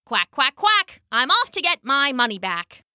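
A brave little storybook duck, as a woman reads him out, earnest and chanting his quacks. The voice sits high and bounces around a lot. It is close and dry. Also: big pitch swings, measured pace, bright, forceful tone, no room sound, exaggerated voice.

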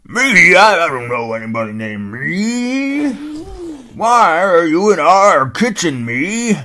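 A man voicing a kindly, slow old blind male hound dog in a bedtime story, drawling and hesitant. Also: high voice, big pitch swings, pushed and bright, voice pushed up, exaggerated voice. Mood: gruff, wary.

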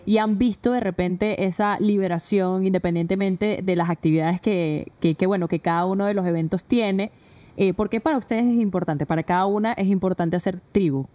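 A woman's voice: mid-range voice, brisk, mellow. A woman hosting a podcast, asking a guest a long rephrased question. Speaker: podcast host. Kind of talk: interview questions.

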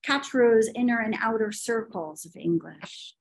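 A woman's voice: fairly high pitch, a little slow, poor audio. A middle-aged woman talking about language teaching in a podcast conversation, opinionated and telling stories, with frequent fillers.

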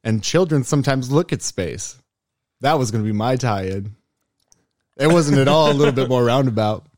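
A man's voice: medium pitch, moderate pace, darkish tone, no room sound, studio quality. A young man co-hosting a casual comedy podcast, playful and teasing, with mock-boastful jokes and rambling tangents. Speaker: podcast co-host.

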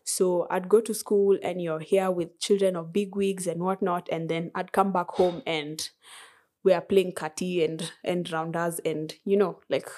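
A young woman in her twenties with a Kenyan accent, a podcast guest chatting casually, soft-spoken with frequent laughs and hesitations. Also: lowish voice, fairly even intonation, measured pace. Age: 20s. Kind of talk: casual interview chat.

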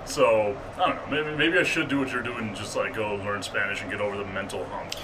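A deep-voiced man from El Paso hosting a casual interview, joking and self-deprecating. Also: steady tone, fast, distant mic, noisy background. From El Paso, Texas. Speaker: interviewer, host.

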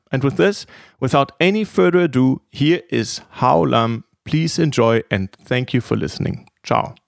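A man's voice: mid-range voice, vocal fry, no room sound, clean studio sound. A man hosting an interview podcast, introducing his guest and prompting him conversationally. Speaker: podcast host.

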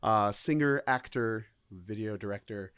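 A man's voice: lowish voice, unhurried, a bit bright, clean tone, no echo, clean studio sound. A man co-hosting a podcast, chatting casually in a rambling, self-mocking way. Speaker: podcast co-host. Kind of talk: casual chat.

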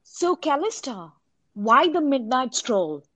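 A woman's voice: sits high, very animated, takes it slowly, fairly bright tone, exaggerated voice. A woman playing a skeptical scientist in an audio drama. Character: skeptical female scientist questioning her worried friend.

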